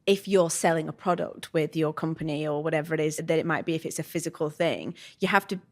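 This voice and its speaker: A woman's voice: low voice, fast talker. Chatty woman interviewer. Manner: attentive, chatty, many affirming murmurs. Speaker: podcast producer, interviewer. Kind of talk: podcast interview. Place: small studio room with plant. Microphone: studio mic on boom arm.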